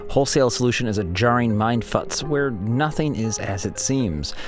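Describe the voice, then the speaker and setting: A man presenting a scripted introduction to an audio drama in the role of its co-writer. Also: lowish voice. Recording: music bed behind.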